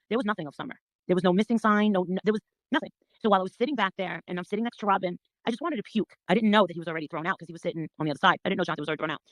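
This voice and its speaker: A middle-aged woman in a casual, gossipy chat, telling her story in a blunt, confrontational, animated way and swearing freely. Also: lowish voice, no echo, low-quality recording.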